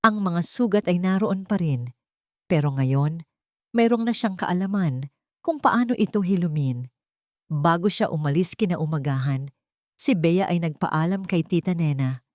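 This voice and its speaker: A woman's voice: on the low side, animated, measured pace, dry recording, studio quality. A woman narrating a scripted, dramatic love story as a storyteller.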